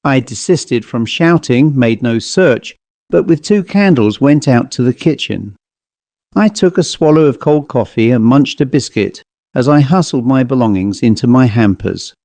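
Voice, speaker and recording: A man reading a ghost story as an audiobook narrator, in a measured, dramatic storytelling manner. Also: mid-range voice, lively intonation, dark tone, no room sound.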